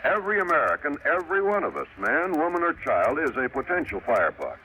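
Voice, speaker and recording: A man announcing on mid-century radio, reading a script with grave, emphatic and deliberate phrasing. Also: on the high side, huge pitch swings, sharp tone. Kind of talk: 1950s radio announcing, scripted public service narration. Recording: noisy vintage radio broadcast.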